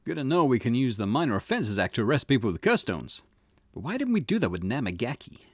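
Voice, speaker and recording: An eager junior detective from a visual novel , acted out by a man, earnest and full of questions. The voice is lively in pitch and deferential, recorded close and dry. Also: quick pace, no room sound. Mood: deferential, curious. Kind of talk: reading visual novel dialogue in character.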